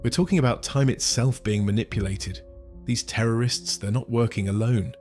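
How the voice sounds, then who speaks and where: A man's voice: medium pitch, normal speed, a little mellow, faint music behind, natural voice. A man voicing a confident, quirky time traveler in a casual, breezy northern-English manner.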